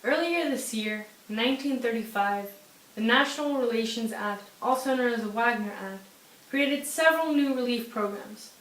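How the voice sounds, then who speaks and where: A woman's voice: a little high, moderate pace, a bit bright. A young woman reading scripted news as an anchor. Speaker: news anchor in an amateur newscast. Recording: roomy echo with faint hiss.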